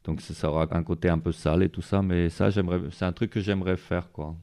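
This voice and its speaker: Deep smooth-voiced guy, street artist chatting loose, lots of euhs and quois. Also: fast talker. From France. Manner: relaxed, rambling, many fillers. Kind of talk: casual interview chat.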